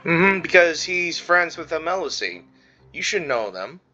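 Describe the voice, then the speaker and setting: A gamer with a bright, forceful voice commentates casually over a game. The pitch moves around a lot and the talk is slangy, on a slightly dull mic with faint music behind. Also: sing-song, unhurried. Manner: slangy, informal.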